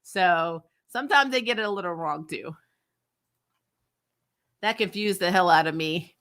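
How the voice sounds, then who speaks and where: A woman's voice: lowish voice, unhurried, a bit bright. A woman giving a casual, opinionated TV recap as a host, blunt, sweary and exasperated, stressing points by repeating herself. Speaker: host of a TV show recap channel. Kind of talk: casual opinionated review chat.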